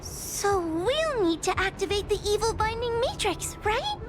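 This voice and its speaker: A woman's voice: very high voice, big pitch swings, measured pace, exaggerated voice. A woman voicing a timid, easily frightened young girl in a video game, anxious and hesitant in manner. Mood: nervous resolve. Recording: game music behind the voice.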